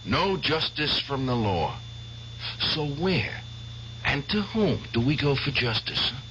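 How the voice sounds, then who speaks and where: A man's voice: medium pitch, big pitch swings, slow, sharp tone, a little hiss or hum, full character voice. An actor playing a haunted male war veteran bent on revenge, intense and insistent, in a 1970s radio drama. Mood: bitter. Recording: old lo-fi radio broadcast.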